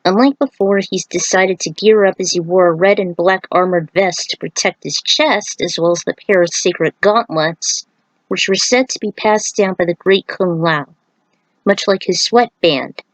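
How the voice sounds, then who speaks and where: A woman's voice: fairly low pitch, normal speed, dry recording, poor audio. A woman narrating her story aloud, with casual asides to listeners. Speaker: narrator reading own fan fiction.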